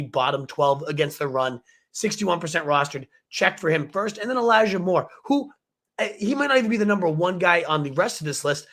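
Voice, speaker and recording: Hoarse, excitable sports show host. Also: male voice, fairly high pitch. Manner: excited, fast-talking hype. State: hoarse from a cold. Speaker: fantasy football show host. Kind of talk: energetic livestream sports advice.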